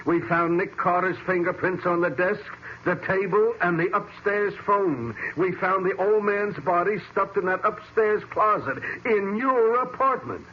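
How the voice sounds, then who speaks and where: Blustering American police sergeant. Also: male voice, sits high, unhurried. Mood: bullying. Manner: blustering, ungrammatical tough-cop slang. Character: gruff, bossy, slangy male police sergeant. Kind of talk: 1940s radio acting. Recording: muffled, hissy old radio recording.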